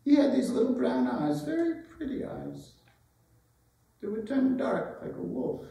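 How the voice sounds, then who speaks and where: An older woman playing a gentle, wistful retired schoolteacher in a dramatic stage reading, musing and hesitant. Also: high-pitched, a little slow, creaky. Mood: sorrowful, wistful. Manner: hesitant, musing, tender, asking questions. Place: indoor arts center stage. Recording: roomy, distant stage recording.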